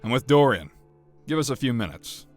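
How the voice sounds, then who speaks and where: A man's voice: medium pitch, expressive, brisk, soft background music, lightly acted. An actor playing a cocky, battle-hardened guardsman who feels no pain, in a flippant, nonchalant way for an audio drama.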